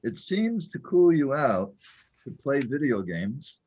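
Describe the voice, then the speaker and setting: A man playing a calm, patient guide in an audio drama, speaking in a husky, measured, reassuring way. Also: mid-range voice, lo-fi sound, exaggerated voice. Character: calm patient male guide to a confused newcomer.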